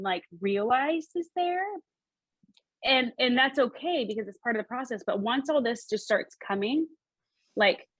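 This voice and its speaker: A woman working as a psychic medium, relaying messages in a halting, repetitive, animated way. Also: fairly high pitch, no room sound, rough recording. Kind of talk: spoken reading, relaying messages in quotes.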